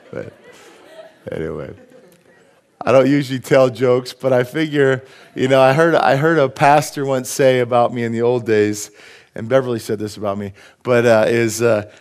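A middle-aged pastor with a cold speaks from a church stage at a mid-range pitch. He preaches in a chatty way, often asking the congregation questions, and mixes a joke or two into the sermon. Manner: conversational, asks the congregation questions. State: has a cold. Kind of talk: sermon with jokes.

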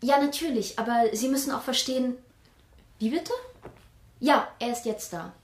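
High-voiced, guarded actress as a woman, echoey. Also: moderate pace, natural voice. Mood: guarded, polite. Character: evasive, polite woman of the household answering the telephone. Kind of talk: audio drama acting.

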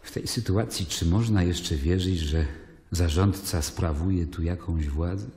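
Weary roman governor in a radio drama, low dark husky voice, measured and troubled. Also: male voice, heavily acted. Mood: troubled, reflective. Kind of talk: dramatic first-person narration in a radio play.

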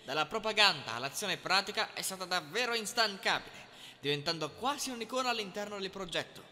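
Guy doing a formal gala presenter speech, high piercing bright voice, big reverby hall, audio roleplay. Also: animated, exaggerated voice. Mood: formal, grateful. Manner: formal, measured, ceremonious. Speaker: event presenter.

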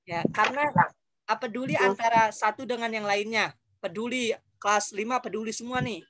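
A man's voice: sits high, normal speed, over a noisy crowd. A male teacher explaining a lesson to his class on a video call, patiently repeating instructions.

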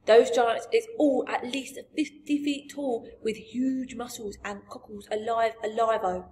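Lady doing a big friendly giant from a kids book, highish voice, muddled grammar, proud n gentle, really emphatic. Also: steady tone, unhurried, a little hiss or hum, lightly acted. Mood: emphatic, warning. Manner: garbled grammar, invented words, emphatic. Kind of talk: storybook character voice.

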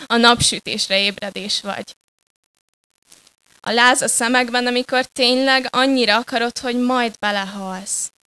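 A young woman performing spoken-word poetry live, deliberate and dramatic, moving between hushed and forceful delivery. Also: mid-range voice, low-quality recording. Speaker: slam poet. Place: live poetry slam stage.

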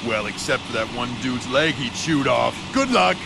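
A man playing a gruff, misanthropic skate legend in a video game, sardonic and dismissive. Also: medium pitch, animated, normal speed, heavy background noise. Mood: mocking. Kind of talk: video game cutscene acting.

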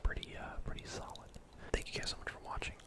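A young man whispering casual, gently chatty ASMR game commentary in a soft, breathy voice. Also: fast talker. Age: young adult. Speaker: gaming ASMR video host. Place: indoor room at home.

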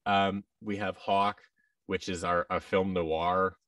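An American man speaks in a fairly low voice as a podcast guest. He talks casually in halting runs, slipping into quoted dialogue. The sound is dry, with him a little back from the microphone. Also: level tone, a bit bright, dry recording, recorded from a distance. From United States. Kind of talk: casual interview chat. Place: large indoor room with tables, on a video call. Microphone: boom-arm microphone with headset.

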